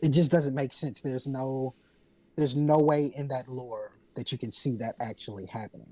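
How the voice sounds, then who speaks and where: A man's voice: medium pitch, steady tone, moderate pace, darkish tone, dry recording. A man on a casual panel podcast, explaining video game lore earnestly and at length.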